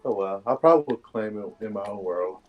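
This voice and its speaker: A man's voice: fairly low pitch, expressive, fairly quick, highs cut off. A man chatting casually while playing a game with a friend. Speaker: co-op gaming partner. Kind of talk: casual chat while gaming. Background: faint game music.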